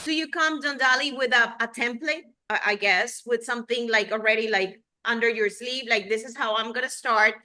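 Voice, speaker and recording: Woman interviewer, higher voice, bright and sharp tone, long winding questions. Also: normal speed, few pauses.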